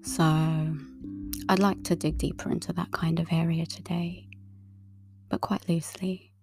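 A woman with a low, gravelly, relaxing voice, talking in an unhurried, half-whispered monologue. Her pitch barely moves. Guitar music plays clearly underneath. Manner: slow, calm, breathy half-whispered delivery. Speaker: tarot reader and writer. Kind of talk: soft-spoken monologue. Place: outdoors in a wooded area.

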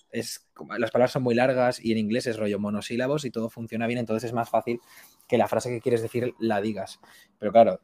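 A young man chatting casually as an interview guest, speaking colloquially and haltingly as he thinks aloud. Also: mid-range voice, darkish tone. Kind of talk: casual chat.

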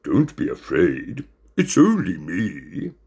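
A man voicing a mild, simple, friendly tapir in a storybook, gentle and a little hesitant. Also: deep voice, huge pitch swings, takes it slowly, breathy edge, heavily acted. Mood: reassuring.

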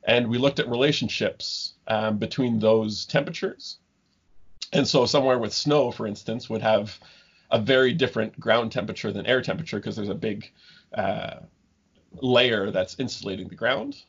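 A man in his thirties from Ontario, a guest scientist talking casually in an interview, halting with many ums and restarts. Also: lowish voice, fairly even intonation, husky. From Ontario, Canada. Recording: dull lo-fi remote call audio.

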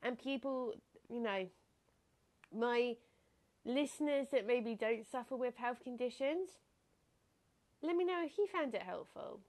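A young woman talks alone into a podcast mic, her voice pitched a little high and a bit nasal, as if stuffed up. She muses casually and reflectively and breaks into laughter easily. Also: measured pace, pauses often, a little mellow. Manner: chatty, reflective, laughs often. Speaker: podcast host. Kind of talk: casual solo podcast talk.

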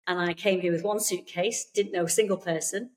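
Low-voiced British woman therapist. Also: steady tone, fairly quick. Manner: chatty, warm reassuring, frequent fillers and restarts. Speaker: therapist guest. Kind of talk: casual interview chat.

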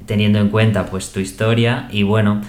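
A man's voice: lowish voice, level tone, normal speed, darkish tone, echoey room. A man hosting a podcast, friendly and easygoing, asking questions and summing up what his guest says. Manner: friendly, asks questions and sums up. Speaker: podcast host. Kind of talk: casual podcast interview.

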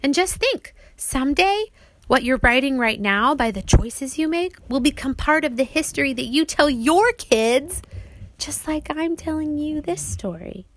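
A woman's voice: high-pitched, lively intonation, a little slow, a bit bright. A woman reading an audiobook as its author, explaining things simply, as if to young children.

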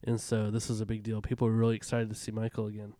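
A man getting over a cold, his voice a bit raspy, rambling through a casual movie chat. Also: fairly low pitch, completely flat delivery, fast talker, mellow, no echo, clean studio sound. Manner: rambling, self-interrupting, jokey. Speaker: podcast co-host.

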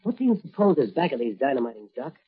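Brief: a brave, plain-spoken young patrolman, mid pitch, dull old radio sound. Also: big pitch swings, quick pace, slightly rough. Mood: earnest. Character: young earnest rookie cop, brave hero. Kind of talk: 1940s radio acting. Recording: muffled old radio transcription with faint hiss.

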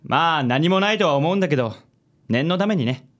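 A vain, self-assured charmer played by a man with very lively, swooping intonation. He is a handsome company president in a radio comedy, smooth and affected, tossing in English words. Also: fairly high pitch, brisk. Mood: confident. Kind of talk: Japanese comic radio drama acting.

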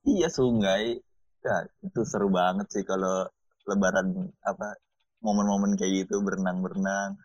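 A man's voice: low voice, fairly even intonation, normal speed, no room sound, dull audio. A young man in casual chat who talks in an easygoing, joking way.

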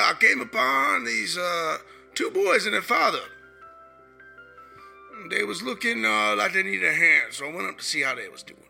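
A hoarse man voicing a rough Southern outlaw cowboy, drawling through a rambling diary confession. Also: high voice, sharp tone, voice pushed up, exaggerated voice. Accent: put-on American Southern.

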